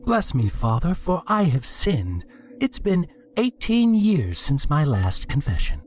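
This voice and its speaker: A man's voice: fairly low pitch, animated, measured pace, pitched above the performer's own voice. A flippant, smug young male sinner from a story, put on by a man narrating. The voice is lifted and chirpy with mock innocence, on a noisy recording. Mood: mock piety. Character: cheeky, smug young male thief at confession. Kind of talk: story character voice.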